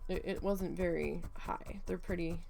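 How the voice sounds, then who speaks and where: A woman's voice: lowish voice, steady tone, measured pace, creaky, a little mellow. A woman vlogger chatting casually while she crafts, rambling with lots of fillers and swearing freely. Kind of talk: casual chat while needle felting.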